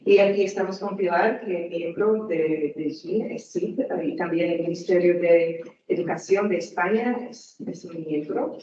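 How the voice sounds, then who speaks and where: Slow, hesitant woman with a Slovak accent. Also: on the low side, mellow, slight background noise. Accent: Slovak, with Portuguese traces. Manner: hesitant, searching for words in a non-native language. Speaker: guest speaker. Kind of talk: conference talk. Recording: echoey hall, distant mic, muffled.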